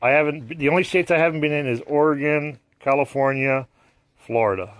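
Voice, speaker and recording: A man's voice: on the high side, fairly quick. A middle-aged man chatting casually as host of a homestead vlog, cooking outdoors under a tarp and talking upbeat with his guests. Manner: upbeat, chatty, laughs easily. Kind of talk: casual chat while cooking.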